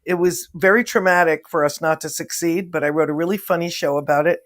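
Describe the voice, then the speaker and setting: A guest with a fairly high voice speaks on a casual talk show. The delivery is earnest and heartfelt. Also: male voice, fairly even intonation, fairly quick, fairly bright tone. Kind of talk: casual video-call talk.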